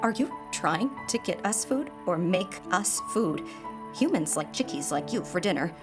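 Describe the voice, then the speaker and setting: A woman voicing a scolding, practical mother blue jay with a blunt, bossy manner in an animated short. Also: on the low side, moderate pace, close to an everyday voice. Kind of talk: animated short film voice acting. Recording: music behind the voice.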